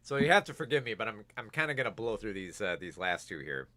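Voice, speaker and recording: Man, bright tone, losing his voice, casual film review host, lots of restarts. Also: on the low side, very animated, fast. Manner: rambling, many restarts and fillers. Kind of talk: casual video review, reading viewer comments.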